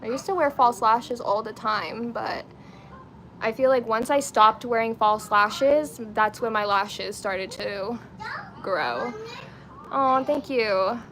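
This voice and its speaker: Higher-pitched young woman chatting over background noise. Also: slow pace, fairly bright tone. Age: twenty-nine. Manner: informal, slangy, talking to viewers. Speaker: beauty and lifestyle live streamer. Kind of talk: casual chatty get-ready-with-me livestream.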